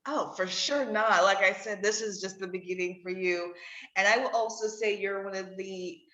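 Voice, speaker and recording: A woman's voice: medium pitch, moderate pace, sharp tone. A woman hosting a conversational interview podcast, readily agreeing with and echoing what her guest says. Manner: affirming, echoes and agrees with guest. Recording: dull audio in echoey room.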